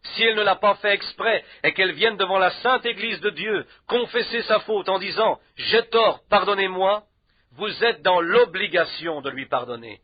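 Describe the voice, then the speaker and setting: Guy with a high voice preaching hard, lots of short punchy affirmations, dry muffled recording. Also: normal speed, no room sound. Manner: emphatic, repeats short affirmations. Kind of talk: sermon. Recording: dull, lo-fi, dry sound.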